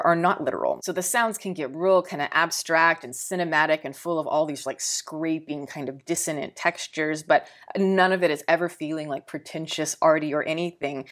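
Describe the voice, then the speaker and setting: A woman with a Texan accent reviewing a film in a casual, rambling way, thinking aloud with fillers and repeated words. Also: deep voice. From Texas.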